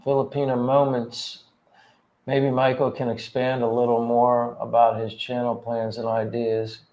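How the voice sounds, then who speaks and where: A man's voice: mid-range voice, completely flat delivery, a little slow, a little mellow, low-quality recording. A man in his fifties from Pennsylvania, chatting earnestly and a bit ramblingly on a livestream. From Pennsylvania, USA. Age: 50s. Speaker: livestream co-host. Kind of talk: casual livestream chat.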